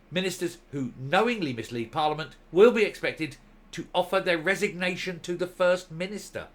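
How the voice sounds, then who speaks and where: A male commentary host reading a document aloud and commenting on it, stressing key words with deliberate, emphatic phrasing. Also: a little high, a bit bright.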